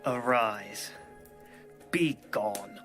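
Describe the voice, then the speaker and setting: A man playing a lustful, callous young prince in a dramatized audio Bible, with commanding, drawn-out phrases over music. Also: on the low side. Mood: cold dismissal. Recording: music under the voice.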